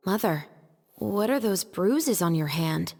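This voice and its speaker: A woman's voice: mid-range voice, expressive, slow, darkish tone. A woman voicing an observant, resolute young daughter, protective of her sister, in a dramatic video game. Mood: concerned, observant. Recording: long reverb, music underneath. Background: music.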